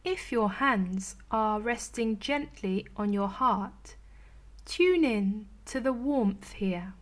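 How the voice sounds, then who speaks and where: A woman leading a guided meditation in a soothing, gentle, unhurried manner with long pauses between phrases. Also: medium pitch, animated. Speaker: meditation teacher.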